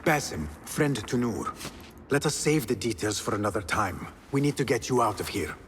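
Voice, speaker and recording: An actor playing an earnest, protective young agent in a video game, with formal phrasing. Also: male voice, mid-range voice, expressive, moderate pace, slight background noise, lightly acted. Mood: earnest, calm. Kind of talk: video game cutscene dialogue.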